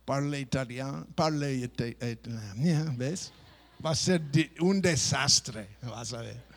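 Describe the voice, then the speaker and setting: A man's voice: medium pitch, takes it slowly. A middle-aged man preaching a sermon, playful, mimicking people, with stop-start phrasing. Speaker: pastor.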